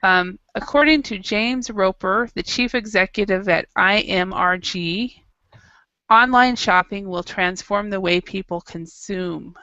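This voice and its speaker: A woman's voice: mid-range voice, unhurried, sharp tone, no room sound, lo-fi sound. A woman teaching an English class, running a quiz with students and reading an article aloud in a chatty, encouraging way. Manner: chatty, encouraging, laughs easily.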